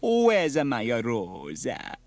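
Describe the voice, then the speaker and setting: A man voicing an excitable, fretful Italian woman who runs a cafe, with dramatic, drawn-out exclamations. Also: fairly high pitch, no echo, voice pushed up, lightly acted. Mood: anxious.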